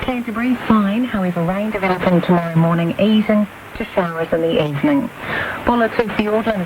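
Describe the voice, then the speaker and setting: A woman's voice: fairly low pitch, dull audio. A woman with a New Zealand accent reading a scripted weather forecast as a radio presenter. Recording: very noisy shortwave radio reception. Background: loud radio static.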